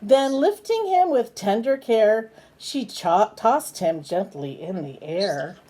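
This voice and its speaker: A woman's voice: mid-range voice, expressive, warm tone. A woman reading a children's picture book aloud, breaking off for chatty, coaxing questions to a small companion. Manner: unhurried, asks simple coaxing questions. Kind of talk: children's read-aloud with chatty asides to a bird.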